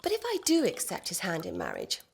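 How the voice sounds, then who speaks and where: A woman's voice: lowish voice, wildly swooping pitch, a little fast, vocal fry, slight background noise, exaggerated voice. A woman playing a haughty, scheming noble lady in a comic period radio play, imperious, exasperated and grandly wordy. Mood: imperious, pondering.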